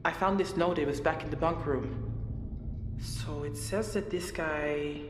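An actress playing a wary young woman on a ghost ship, speaking in an uneasy, hushed way in a video game. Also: low-pitched, fairly even intonation, unhurried. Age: young adult. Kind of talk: English game cutscene dialogue. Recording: reverberant hold with ambient noise.

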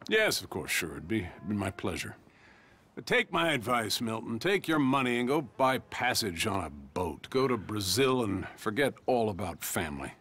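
An actor playing a genial middle-aged American rancher boss in a western video game, wry, assured and avuncular. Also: male voice, on the low side, very animated, moderate pace, fairly bright tone, husky, full character voice. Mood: genial, advising. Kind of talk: video game cutscene acting.

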